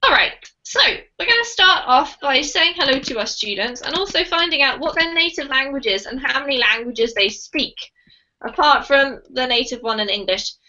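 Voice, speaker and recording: A woman's voice: high-pitched, animated, normal speed, pushed and bright, muffled recording. A woman teaching an online English class, chatty and encouraging with her students over a video call. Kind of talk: live online group class. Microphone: computer mic on a video call.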